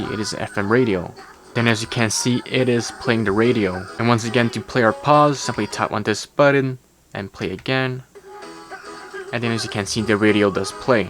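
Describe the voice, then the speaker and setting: A man narrating a tech gadget review in an explanatory, step-by-step way. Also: mid-range voice. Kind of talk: product review voice-over.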